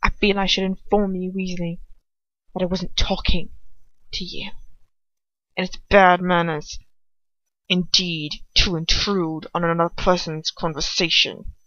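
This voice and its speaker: A woman reader puts on a haughty male villain from a dark storybook tale. He is menacing and quick to fury, drawling or hissing through gritted teeth. The sound is dry and slightly muffled. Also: lowish voice, flat delivery, no echo. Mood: cold malice. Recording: slightly dull, lo-fi home recording.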